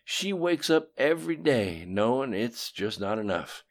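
A man voicing a brash, boastful older male schemer who chuckles and talks in quick, loud bursts with a raspy edge. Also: mid-range voice, big pitch swings, pitched above the performer's own voice. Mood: admiring.

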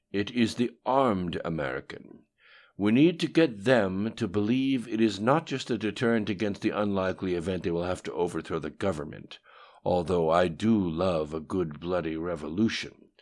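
A man's voice: deep voice, normal speed, a little mellow. A man voicing a menacing, disembodied supernatural tyrant in an audiobook, with a commanding, scolding manner. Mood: cold, calculating. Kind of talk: audiobook dialogue acting.

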